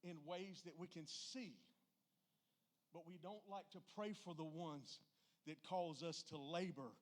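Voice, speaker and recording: A middle-aged man preaches in a somewhat high voice. His delivery is informal and emphatic, with folksy turns and questions thrown out to the congregation. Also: measured pace. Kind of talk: informal church sermon.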